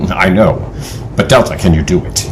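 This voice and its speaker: An actor playing a decisive, steady team leader in an audio adventure drama, measured and formal. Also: male voice, low-pitched, slight background noise, close to an everyday voice. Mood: approving.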